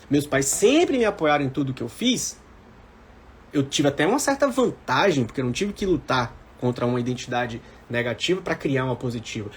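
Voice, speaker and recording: A man's voice: mid-range voice, a little hiss or hum. A man of about forty with a Brazilian accent, giving informal motivational coaching to live-stream viewers, emphatic and repeating his key phrases. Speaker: mentor for civil service exam candidates.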